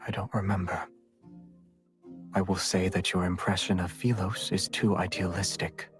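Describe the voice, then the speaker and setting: An actor playing a brooding, secretive immortal man in a video game, guarded and weary, speaking with quiet restraint. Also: low-pitched, little pitch movement, normal speed, soft background music, natural voice. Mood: cool, evasive. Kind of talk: scripted video game voice acting.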